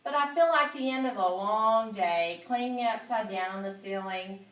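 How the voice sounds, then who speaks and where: A woman voicing a polite, dryly critical, weary aging female spider in a children's storybook, in a measured way. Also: mid-range voice, a bit bright, natural voice. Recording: roomy echo, distant microphone.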